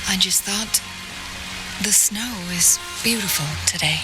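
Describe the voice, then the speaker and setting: A graceful, composed young woman played by an actress in a video game. She speaks softly and reflectively, with a wistful gentleness and a medium pitch. Music plays clearly behind her. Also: measured pace, lightly acted, low-quality recording. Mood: gentle, reflective. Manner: composed, soft-spoken, wistful.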